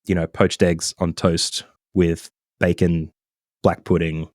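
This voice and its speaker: Deep-voiced man, mild Australian accent. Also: slow, no echo, studio quality. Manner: relaxed and chatty, with many ums and self-corrections. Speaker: podcast guest. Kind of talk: casual interview chat.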